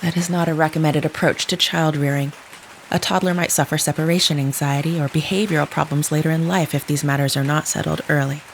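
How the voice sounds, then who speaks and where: Clinical caregiver, precise wording, stilted, earnest audio drama role, light rain noise. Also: female voice, low-pitched, a little fast, vocal fry, low-quality recording. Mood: formal, concerned. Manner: stilted, precise, clinical phrasing without contractions. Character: formal, literal-minded woman caring for a troubled child. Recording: steady rain noise behind the voice.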